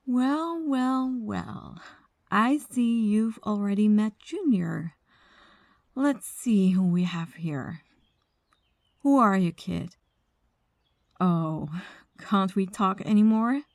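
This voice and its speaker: A female mafia boss, played by a voice actress, speaks slowly and deliberately, close and dry. She is commanding and condescending, with a mocking, quiet menace suited to an ASMR crime roleplay. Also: mid-range voice, lively intonation, no echo. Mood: mocking, menacing. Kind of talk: ASMR roleplay audio drama.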